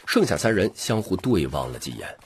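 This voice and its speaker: A man with a rough voice narrating a scripted audiobook story. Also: deep voice, big pitch swings, a little fast, a little hiss or hum.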